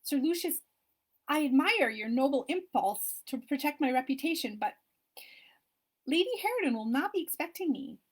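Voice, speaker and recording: A woman's voice: sits high, expressive, normal speed, voice pushed up. A woman voicing a wispy, girlish young lady. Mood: pleading.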